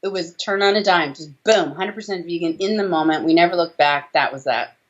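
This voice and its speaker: An American woman with a fairly low voice speaks as an expert guest in an interview. She explains emphatically, checking in with short tag questions. The video-call audio is a little dull. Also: brisk. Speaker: doctor, interview guest, author. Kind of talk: conversational interview answers on health. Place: small room indoors, on a video call.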